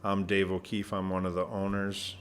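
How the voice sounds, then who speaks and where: Co-commentator, male, lowish soft voice, chatty and friendly banter, faint hum behind. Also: completely flat delivery, moderate pace. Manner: chatty, laughs easily, soft-spoken. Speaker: club owner and co-commentator. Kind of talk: live pool match commentary.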